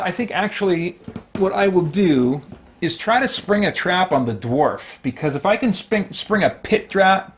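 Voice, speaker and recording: Man thinking aloud over a board game. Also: mid-range voice. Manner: deliberating, talking himself through rules and choices. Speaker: host playing and narrating a solo tabletop board game. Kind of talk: casual play-by-play, thinking aloud.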